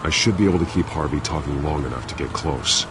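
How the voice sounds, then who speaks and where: A man playing a brooding, grim masked vigilante in a video game, commanding and terse, with a gravelly growl. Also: rumbling low, fast talker, background noise. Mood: grim, determined. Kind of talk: dramatic video game acting.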